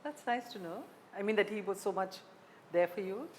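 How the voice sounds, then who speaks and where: A woman with an Indian accent asks questions as a conversational interviewer. Her pitch is average, and she rewords her long questions as she goes. There is faint noise in the background. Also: lively intonation, normal speed, a little mellow, poor audio. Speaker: journalist interviewer.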